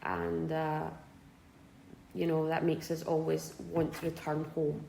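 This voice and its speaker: Creaky Scottish woman in her twenties. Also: low voice, completely flat delivery, slow, warm tone, roomy sound, a little hiss or hum, poor audio. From Scotland. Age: 20s. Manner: hesitant, many fillers and restarts. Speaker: interviewee. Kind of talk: casual interview answers.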